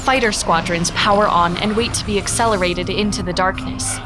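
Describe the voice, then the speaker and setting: A woman giving steady, descriptive narration for a sci-fi audio drama. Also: mid-range voice, normal speed. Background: music.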